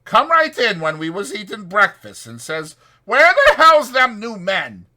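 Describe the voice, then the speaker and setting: A man's voice: high voice, big pitch swings, measured pace, bright, forceful tone, voice pushed up, exaggerated voice. A man voicing a chatty old ranch swamper with rambling, folksy speech for a dramatized audiobook. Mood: excited, gossipy.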